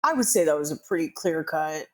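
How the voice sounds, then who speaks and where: A woman's voice: low-pitched, lively intonation, fairly quick. A woman co-hosting a podcast in casual chat, earnestly explaining her views and clarifying herself. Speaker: podcast co-host.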